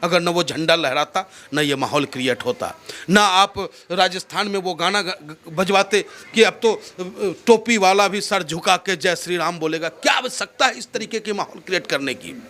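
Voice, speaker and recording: A man's voice: high-pitched, normal speed, sharp tone. A man from India with a North Indian accent, speaking in an impassioned, oratorical way as a political interviewee, emphatic and repeating phrases for effect.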